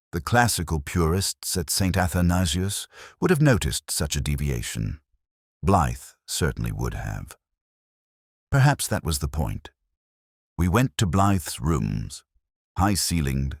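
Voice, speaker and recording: A man playing a weary, pensive detective inspector who narrates his case in a measured, literary, brooding way. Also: sits low, no echo, studio quality, exaggerated voice. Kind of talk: scripted first-person mystery narration.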